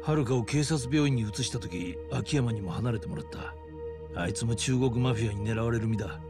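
A male actor playing a seasoned older detective in a video game, gruff, informal and pragmatic, with a gravelly voice. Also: medium pitch, normal speed, music in the background, natural voice. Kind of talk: dramatic video game acting.

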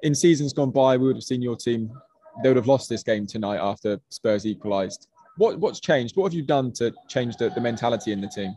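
A male reporter asking a measured question at a press conference. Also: mid-range voice, expressive, dark tone, no room sound.

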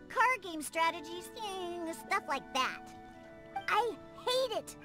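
A proud little cat-girl bartender from a video game, with a high, very animated voice. She is huffy and haughty, turning hesitant when she wants a favour. Music plays clearly behind her. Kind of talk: English video game voice acting.

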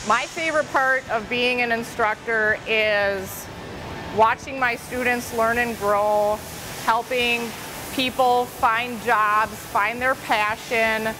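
A woman in her thirties, a welding instructor, explaining her program in an upbeat, clear way in a shop. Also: a little high, steady tone, takes it slowly, rough recording. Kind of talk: promotional interview. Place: large welding shop, indoors. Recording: music bed underneath.

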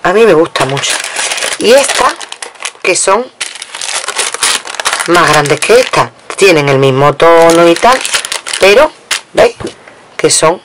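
A woman's voice: lowish voice, animated, takes it slowly, pauses often. A woman from Spain casually showing a craft-supply haul at her table, chatting to viewers and counting items aloud. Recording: noisy home recording with crinkling packets.

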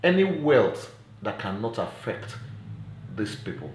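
Low-pitched middle-aged Nigerian man, roomy sound. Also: very animated. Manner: deliberate, emphatic, rhetorical questions. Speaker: child welfare advocate and presenter. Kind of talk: earnest advocacy vlog to camera. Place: small indoor room at home. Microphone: phone at arm's length. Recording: roomy echo, faint background noise.

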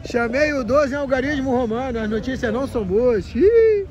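A man calls a beach footvolley match, getting excited, chanting names and teasing the players. His voice sits high. A big crowd is loud all around him outdoors. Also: moderate pace, lo-fi sound. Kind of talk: casual courtside commentary.

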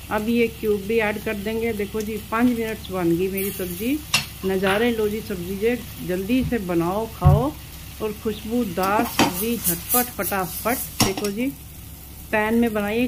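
A woman hosting a cooking video in her home kitchen, talking homely and instructive and repeating words for emphasis. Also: medium pitch. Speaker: cooking channel host. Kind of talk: chatty cooking narration. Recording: loud sizzling pan noise. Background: frying and stirring sizzle.

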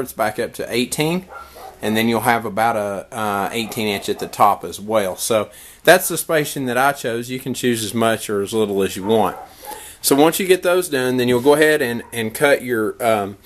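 A man in his thirties casually narrating a woodworking how-to in his workshop, relaxed and explanatory, with frequent fillers and restarts. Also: medium pitch. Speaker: woodworking vlogger. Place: workshop shed.

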